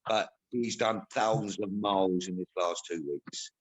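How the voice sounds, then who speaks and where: A man's voice: deep voice, fairly even intonation, measured pace. A man speaking emphatically in a casual group video call.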